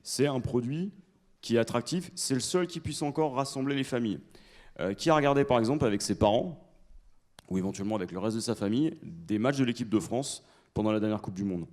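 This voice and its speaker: A man speaking as a conference panelist in a university lecture hall, explaining in a measured, emphatic way and putting questions to the audience. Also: mid-range voice, animated, darkish tone.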